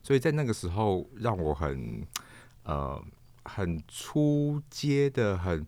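A man with a Taiwanese accent answering casually as an interview guest, halting and repeating words as he thinks. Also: sits low, very animated, darkish tone, no echo. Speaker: interview guest, stage performer.

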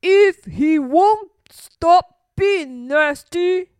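A woman voicing a kind but firm male elephant in a children's story, speaking politely and deliberately. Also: extremely high pitch, animated, a little mellow, no room sound, pitched above the performer's own voice, exaggerated voice. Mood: firm, planning.

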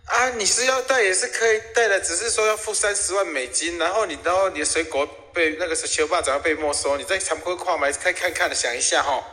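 A man's voice: high-pitched, fast, hardly any pauses, bright, forceful tone, in a large hall. A man with a Taiwanese accent playing a chatty, wisecracking budget tour guide in an audio comedy. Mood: brisk, bossy. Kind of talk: audio comedy radio drama acting.

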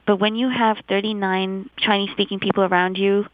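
A woman's voice: medium pitch, flat delivery, brisk, dry recording. A middle-aged woman from India with an American-leaning English accent, chatting as an interview guest and telling stories with enthusiasm. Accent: American-leaning English picked up in Taiwan. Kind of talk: casual interview chat. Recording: dull lo-fi sound.

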